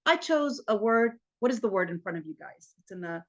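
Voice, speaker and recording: An American woman teaching a class in a lively, animated way and often calling her students "you guys". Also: medium pitch, a little fast, muffled recording. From United States. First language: English. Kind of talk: classroom lecture.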